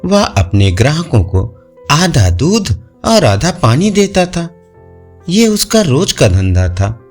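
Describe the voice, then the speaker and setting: A man's voice: a little high, sing-song, takes it slowly. A man narrating moral folk tales as a scripted storyteller. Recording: music under the voice. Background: faint music.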